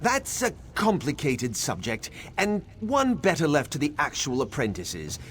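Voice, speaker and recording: A man plays a learned garden keeper in a fantasy game. He explains formally and a little evasively in a lightly raised, expressive voice, over faint ambient noise. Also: fairly high pitch, a little slow. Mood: patient, explanatory. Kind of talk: video game character dialogue.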